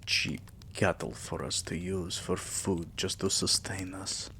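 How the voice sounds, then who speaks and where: Man voicing a deep, slow, tender vampire king. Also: flat delivery, slight background noise, heavily acted. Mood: sorrowful, weary. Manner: slow, hushed, breathy murmur, often dropping to a whisper; regal, doting endearments. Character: tender, possessive immortal vampire king, soothing his beloved pet. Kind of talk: intimate ASMR roleplay acting.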